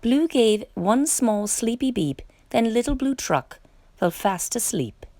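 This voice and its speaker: A woman reading a children's picture book aloud with expressive, theatrical delivery. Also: fairly high pitch, slow, dry recording. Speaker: storytime reader.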